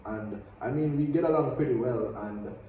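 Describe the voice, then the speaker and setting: A young man from Jamaica speaking casually as a student guest, relaxed and teasing. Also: mid-range voice, moderate pace, distant mic, a little hiss or hum. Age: young adult. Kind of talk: casual chat. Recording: very echoey room, off mic.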